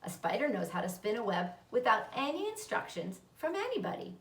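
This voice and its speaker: A woman's voice: on the high side, very animated, a little fast, a bit bright. A woman reading a children's book, voicing a thoughtful, unworried old male doctor in a musing, reassuring manner. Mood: curious. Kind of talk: children's book read-aloud. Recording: roomy echo, distant microphone.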